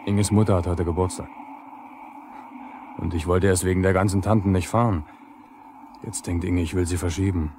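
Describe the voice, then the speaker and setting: A resentful younger lineman in a 1970s East German radio drama. He grumbles defiantly in a deep voice. The vinyl recording is clearly noisy. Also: steady tone, normal speed, long pauses, dark tone. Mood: bitter, defiant. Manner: grumbling, colloquial.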